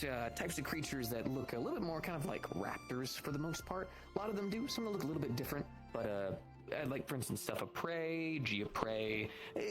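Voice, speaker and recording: A man's voice: medium pitch, rough recording. A man improvising in-character roleplay as a guild shopkeeper, explaining things at length in a wordy, salesman-like patter. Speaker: roleplayer running a guild shop in a social VR roleplay. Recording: music playing behind the voice. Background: music.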